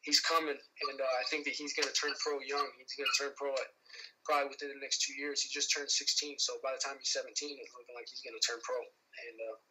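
A young man in his early twenties, a boxer, answering interview questions over the phone from inside a car, relaxed and confident with many fillers. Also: medium pitch, fast, a little hiss or hum, poor audio. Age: 20s. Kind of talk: casual interview. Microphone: phone held close.

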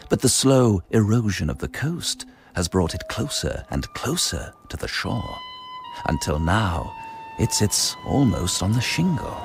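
An actor playing a bookish British man in a radio drama, speaking in a measured, precise and formal way. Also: on the low side, exaggerated voice. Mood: atmospheric.

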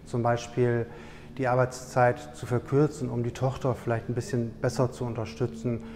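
A middle-aged man, a technical director, speaking in a measured, slightly hesitant way in a corporate video interview on a lapel mic. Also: mid-range voice, level tone, big-room sound, noisy background. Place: indoor showroom with product shelves.

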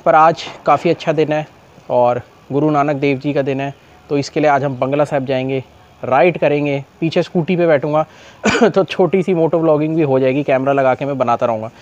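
Young man vlogging, higher-pitched, slightly throaty cough. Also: brisk, a little mellow, lo-fi sound. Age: young adult. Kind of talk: casual vlog talk to camera. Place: open rooftop outdoors in a city. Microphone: clip-on lapel mic. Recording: noisy outdoor urban ambience.

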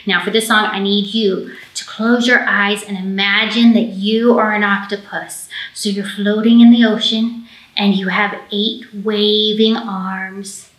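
A young American woman, a children's librarian, presenting storytime to small children in an animated, direct way, recorded indoors. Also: mid-range voice, unhurried. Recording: roomy, echoing indoor sound.

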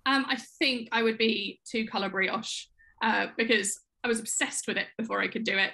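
A young woman guest on a casual video call over a laptop mic, soft-spoken and hesitant with many fillers. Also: on the high side, steady tone, normal speed, gravelly. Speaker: guest test knitter. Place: home room indoors. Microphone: laptop webcam mic.

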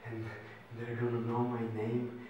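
A man's voice: medium pitch, completely flat delivery, unhurried, dull audio, close to an everyday voice. A young man playing a brutal, hate-filled, self-righteous policeman in a stage monologue. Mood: self-righteous anger. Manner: menacing, profane. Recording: echoey room, distant microphone, hiss.

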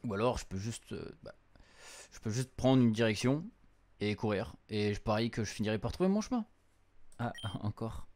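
A man's voice: fairly low pitch, expressive, moderate pace, a little mellow. A young adult man giving casual gameplay commentary, translating on the fly in informal, slangy speech peppered with English words. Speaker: streamer playing a visual novel. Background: game music.

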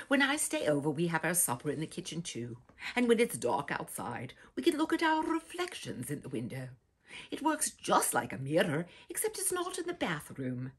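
A woman in her sixties performs a children's read-aloud. Her voice is lowish and very husky, swinging dramatically across a huge range and sinking into breathy whispers. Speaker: storyteller, host. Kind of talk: theatrical children's picture-book read-aloud. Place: small furnished room indoors with bookshelves.

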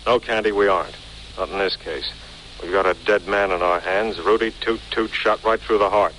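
An actor playing a skeptical, gruff male police detective, terse and dry, in 1940s radio style. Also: on the low side, moderate pace, a bit bright, close to an everyday voice. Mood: stern. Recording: noisy vintage 1940s radio recording.

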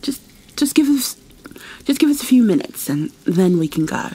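A woman playing a polite, curious cave explorer in an ASMR roleplay, speaking in a tentative, apologetic, halting way. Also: medium pitch, very animated, mellow, lightly acted, poor audio. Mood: friendly, hesitant. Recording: faint ambient background noise.

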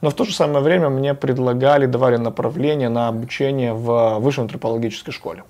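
A man in a mellow, dark voice. He answers interview questions in a measured way, often hesitating with fillers. The voice sits at a middling pitch. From Moldova. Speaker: guest, former local official. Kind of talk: studio interview. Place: TV studio. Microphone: lapel.

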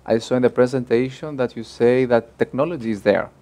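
A young adult man moderates a panel discussion, asking careful, probing questions. He speaks from a table in a bright room by large windows. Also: medium pitch, brisk.

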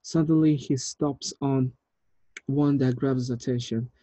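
A man in his thirties reading screenplay directions aloud in a measured, deliberate way, with small stumbles. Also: medium pitch, steady tone, warm tone, no echo, highs cut off. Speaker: writer reading stage directions at a table read. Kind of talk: script narration, reading aloud. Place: indoor room, on a video call.

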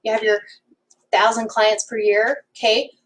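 A woman's voice: a little high, level tone, measured pace, a bit bright. Emphatic woman teaching, mic across the room. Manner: emphatic, ends points with "okay?". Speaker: hairdresser and salon owner. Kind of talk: whiteboard business talk to camera. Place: home room with bookshelf and whiteboard. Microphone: camera across the room. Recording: voice from a distance.

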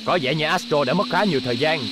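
A man playing an excitable, combative motor-race figure in a Vietnamese cartoon dub. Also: a little high, very fast, noisy background, lightly acted. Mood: intense.

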